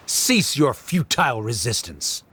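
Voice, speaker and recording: A man's voice: mid-range voice, wildly swooping pitch, slow, pushed and bright, slight background noise, heavily acted. A man playing a haughty, rigid academic official in an anime game, pompous, formal and condescending. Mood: scornful.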